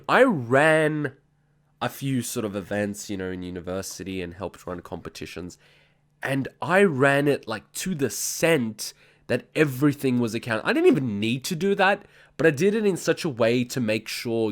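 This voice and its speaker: A man's voice: mid-range voice, expressive, moderate pace. Blunt, ranting man. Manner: ranting, blunt, heavy swearing. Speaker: reaction video host. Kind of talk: casual reaction commentary.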